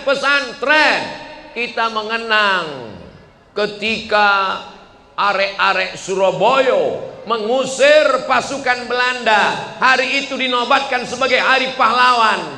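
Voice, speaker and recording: A man in his forties giving a lively sermon to a crowd, asking rhetorical questions and swinging between loud and hushed delivery. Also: extremely high pitch. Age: 40s. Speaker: preacher. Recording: echoey hall with faint ambience.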